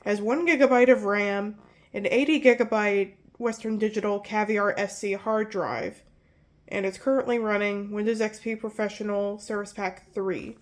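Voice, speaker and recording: A woman's voice: medium pitch, fairly even intonation, normal speed. Nasal young woman rambling about computers. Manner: rambling, self-correcting, apologetic. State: nasal from pollen allergies. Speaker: tech video host. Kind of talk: casual hardware walkthrough.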